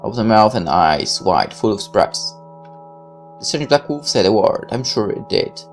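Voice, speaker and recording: Really deep-voiced lady doing a game let's play, reads it out with snarky asides, lively pitch, music behind. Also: moderate pace. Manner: irreverent, sarcastic asides. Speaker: let's player reading a visual novel aloud. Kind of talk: game playthrough, reading text aloud with offhand commentary.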